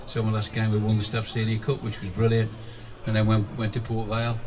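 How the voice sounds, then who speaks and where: A man's voice: lowish voice, completely flat delivery, fairly quick, a little mellow. A man, a former player reminiscing in a casual, rambling way during an outdoor interview at a football ground. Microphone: handheld mic held by interviewer. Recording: distant mic, faint outdoor noise.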